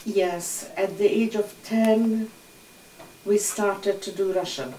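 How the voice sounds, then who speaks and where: A woman's voice: medium pitch, steady tone, takes it slowly, warm tone, slight background noise. Older woman recalling, distant and roomy. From Hungary. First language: Hungarian. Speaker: interviewee. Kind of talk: oral history recollection. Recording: roomy echo, distant mic.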